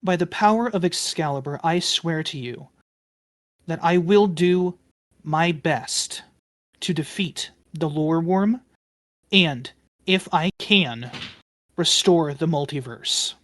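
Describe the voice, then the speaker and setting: A woman playing an earnest young man, a solemn hero in a tabletop game, speaking in deliberate phrases. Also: low voice. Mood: solemn oath.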